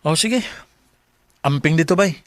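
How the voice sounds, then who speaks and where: A man's voice: on the high side, a little slow, dry recording, natural voice. An actor playing a brash, easily flustered young man in a Bisaya radio drama, with a Cebuano Filipino accent. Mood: subdued. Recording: clearly noisy track with clicks.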